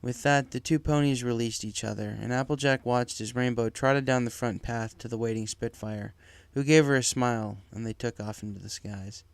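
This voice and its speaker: A man's voice: mid-range voice, fairly even intonation, normal speed, gravelly, hardly any pauses, clear, resonant tone, no room sound. A man reading scripted fan fiction as its narrator, with music behind his voice.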